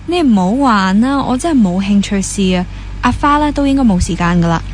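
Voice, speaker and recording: A teasing, plain-spoken young woman played by an actress in a radio drama. She banters in natural Hong Kong Cantonese with a medium-pitched voice, over faint noise. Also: lively intonation, fairly quick, close to an everyday voice. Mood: questioning. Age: young adult. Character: frank, teasing young woman, a passionate dancer.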